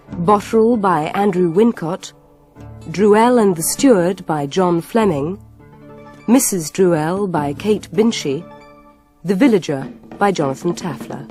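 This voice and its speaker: A British woman reading a scripted radio announcement in a formal, measured manner. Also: lowish voice, mellow. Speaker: continuity announcer.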